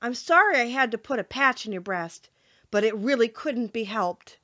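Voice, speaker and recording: A woman voicing a kindly little male wizard in a storybook, brisk, polite and reassuring. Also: a little high, expressive, pushed and bright, muffled recording.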